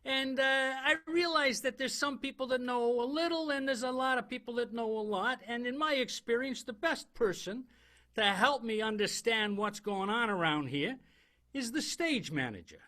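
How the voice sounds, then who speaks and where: A man playing a halting, deliberate 1920s police detective in an improvised comic radio play. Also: very high voice, expressive, bright, forceful tone, full character voice. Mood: deliberate, authoritative. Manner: halting, deliberate, quasi-hardboiled patter. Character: folksy, star-struck police detective in 1920s vaudeville New York.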